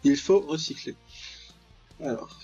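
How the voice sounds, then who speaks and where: A man's voice: on the high side, takes it slowly, dark tone, slightly rough. A man giving casual, joking gameplay commentary as the host of a gaming video. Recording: dull, lo-fi mic, music behind. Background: game music.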